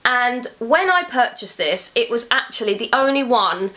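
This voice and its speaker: A young woman vlogging about a handbag she bought, gushing with excitement, her voice still thick from a cold. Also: on the high side, normal speed, low-quality recording. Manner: gushing, enthusiastic, repeats words for emphasis. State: has a cold. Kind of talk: casual unboxing vlog.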